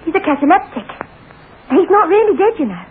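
A woman's voice: very high-pitched, very animated, a little fast. An actress playing an anxious, pleading young woman in an earnest old-time radio drama style. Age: young adult. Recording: narrow-band old radio recording, faint noise.

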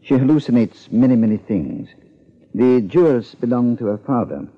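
A man acting a solemn psychiatrist in a 1950s radio drama, speaking in formal, measured, deliberate phrases. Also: medium pitch, big pitch swings. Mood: solemn, clinical. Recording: muffled 1950s radio recording.

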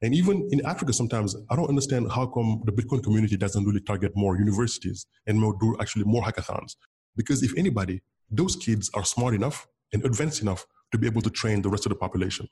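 A man from Senegal, a native Wolof speaker, talks quickly in a fairly low voice. He is a guest in a casual interview and stays earnest, often restarting and repeating his words. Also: mellow. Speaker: guest, Bitcoin developer.

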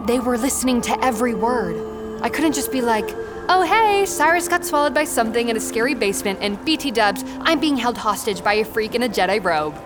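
A woman's voice: fairly high pitch, animated, fairly quick, music in the background, close to an everyday voice. A woman playing a snarky, plucky teenage girl in an audio drama, talking in sarcastic slang.

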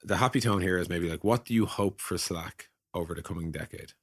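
A man's voice: deep voice, fairly quick, breathy edge, no room sound, clean studio sound. A man hosting a casual tech podcast discussion in an informal, joking manner. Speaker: podcast host.

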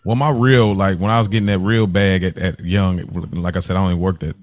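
American male co-host, very low voice, slangy laid-out casual talk, dry recording. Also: steady tone, fairly quick, dry recording. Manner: slangy, reactive. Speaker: podcast co-host. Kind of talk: casual chat.

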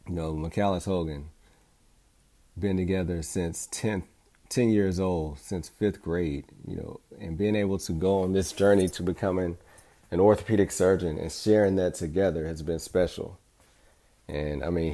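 A man's voice: low-pitched, warm tone. A middle-aged man hosting a podcast, speaking in an earnest, encouraging way in a reflective motivational monologue. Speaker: podcast host.

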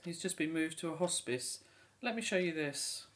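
Middle-aged mother, played by an actress, burdened by an old family secret; measured, resigned audio drama delivery. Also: low-pitched, lightly acted. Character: remorseful mother confessing a long-buried family secret.

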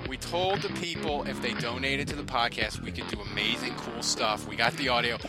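A man hosting a casual sports interview podcast, chatty and excitable in his delivery. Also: mid-range voice, brisk, loud music behind the voice. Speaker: podcast host.

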